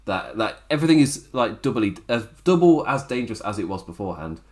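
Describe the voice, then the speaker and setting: Uk-based guy doing a wrestling recap stream, bit hoarse, lowish voice, rambly and laughing a lot. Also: big pitch swings, fast, a little mellow. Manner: rambling, chatty, laughs often, many restarts. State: slightly hoarse from overuse. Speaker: wrestling podcast host. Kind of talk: casual solo show review.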